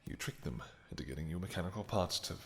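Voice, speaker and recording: A man playing a devoted, guilt-ridden vampire king in an intimate romantic fantasy roleplay, speaking haltingly with emotion and formal, archaic endearments. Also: sits low, animated. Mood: shocked, grave. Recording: long hall-like reverb.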